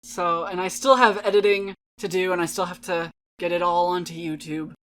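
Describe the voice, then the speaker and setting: A woman's voice: lowish voice, fairly even intonation, normal speed, a bit bright. A woman giving a casual, rambling, self-deprecating outro as the host of a dramatic-reading channel.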